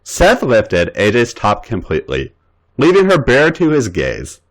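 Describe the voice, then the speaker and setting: A man hosting a podcast, giving a dramatic, expressive reading of an erotic fan fiction story. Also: mid-range voice, a little slow, poor audio.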